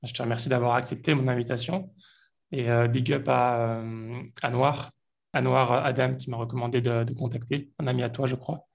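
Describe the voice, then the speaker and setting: A man hosting a podcast, reading a scripted intro and asking relaxed questions. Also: medium pitch, moderate pace, gravelly. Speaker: podcast host.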